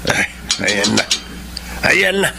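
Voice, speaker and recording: Gravelly old filipino dad, eager n blunt, radio drama, faint noise behind. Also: male voice, lowish voice, sing-song, takes it slowly, full character voice. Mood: scornful. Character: older doting father, blunt and eager.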